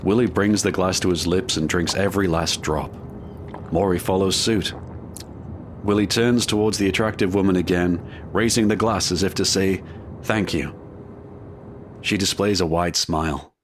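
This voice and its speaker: A man narrating a scripted audio drama in a measured, dramatic manner. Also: low voice, steady tone, a little hiss or hum.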